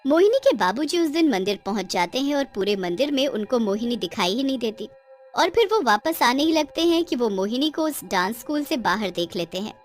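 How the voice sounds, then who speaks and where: A woman's voice: on the high side, very animated, brisk, soft background music. A woman narrating a scripted cartoon story in a dramatic storytelling style.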